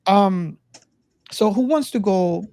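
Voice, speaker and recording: A woman hosting a casual film discussion, speaking haltingly and repeating words as she goes. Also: mid-range voice, dark tone, no echo. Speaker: podcast host.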